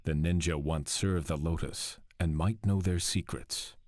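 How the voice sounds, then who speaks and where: A resolute young warrior heir from a video game. His male voice is low and grave, with a serious, commanding manner. The sound is dry and close. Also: unhurried, a little mellow, dry recording. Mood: measured, deliberating. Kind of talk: video game cutscene acting.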